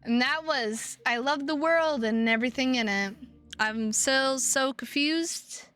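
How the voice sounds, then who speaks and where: A woman streamer with a high, bright voice talks casually to her viewers. She sounds tired and takes her time, drawing out words at a slow pace. Her sentences ramble and halt as she goes. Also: smooth. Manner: halting, rambling delivery with drawn-out words. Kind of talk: casual livestream chat to viewers.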